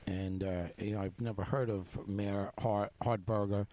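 Brief: easygoing male host, low voice, short agreeable replies. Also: steady tone, a little slow, mellow. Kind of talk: casual chat.

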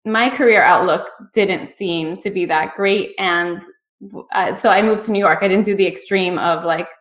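A woman's voice: mid-range voice, moderate pace, fairly bright tone. A woman in her thirties hosting a podcast, asking questions in a chatty way and thinking aloud with fillers. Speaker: podcast host. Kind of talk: conversational interview.

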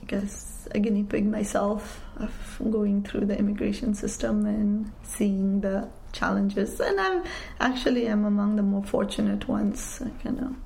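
Gravelly, mellow-voiced woman, thoughtful. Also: medium pitch, measured pace, few pauses. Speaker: interviewee, community worker. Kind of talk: reflective interview answer.

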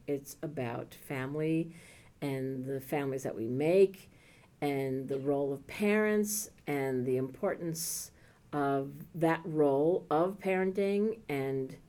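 Low-voiced woman gushing about books. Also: a little mellow. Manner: enthusiastic, rambling with fillers. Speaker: podcast co-host. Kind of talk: casual chat, book recommendations.

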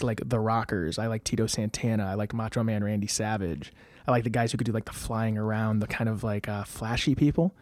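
A man in his thirties talks through his stories in a relaxed, rambling way, with plenty of fillers and restarts. His voice is mid-pitched, and the recording is dry and close. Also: dry recording. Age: 30s. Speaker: guest. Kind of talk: casual interview storytelling.

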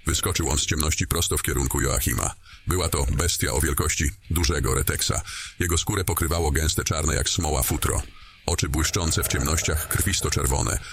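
A male narrator with an exceptionally deep voice reads a scripted fantasy story. He tells it deliberately and descriptively. A faint layer of background noise sits under the voice. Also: fairly even intonation, gravelly.

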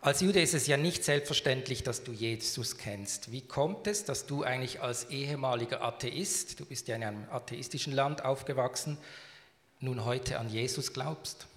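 A man, introducing and interviewing a guest at a church service in a friendly, measured way. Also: medium pitch, a bit bright. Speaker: church moderator. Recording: reverberant hall.

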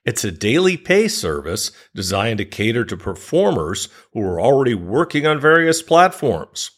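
A man's voice: mid-range voice, very animated, normal speed. A man hosting a podcast, reading scripted promos and introductions and putting questions to a guest. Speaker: podcast host.

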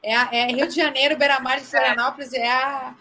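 Uma mulher gaúcha, com sotaque gaúcho, conversando de forma descontraída e brincalhona. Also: female voice, high-pitched, level tone, fairly quick, sharp tone, a little hiss or hum. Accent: gaúcho Portuguese. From Rio Grande do Sul, Brazil. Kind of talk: casual chat.

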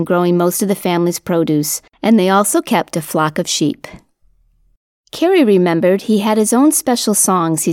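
Mellow low-voiced woman narrating a story. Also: no echo, clean studio sound. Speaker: narrator and singer presenting folk history podcast. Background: music under the voice.

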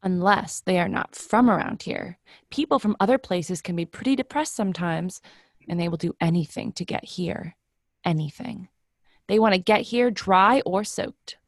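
A woman's voice: on the low side, moderate pace, no room sound, studio quality. A woman playing a fatalistic, unsentimental office worker in a play reading, matter-of-fact in manner. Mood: dismissive.

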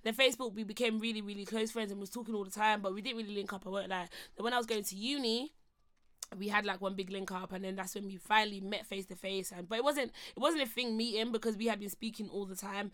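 A young woman, co-hosting a podcast in playful, loud casual chat. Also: medium pitch, fairly even intonation, quick pace. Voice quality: husky. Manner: loud, playful, switches accents. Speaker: podcast co-host.

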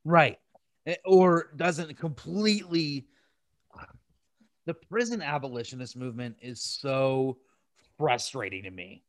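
A man's voice: mid-range voice, slow, long pauses, no room sound, clean studio sound. A man hosting a casual podcast, ranting in an exasperated, opinionated and profane way. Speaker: podcast host.

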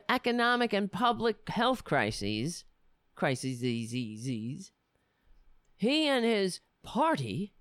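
A man with a raspy voice hosting a political commentary show, reading articles aloud and breaking into heated, sarcastic insults. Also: fairly high pitch, a bit bright, no echo, studio quality. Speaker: podcast host.

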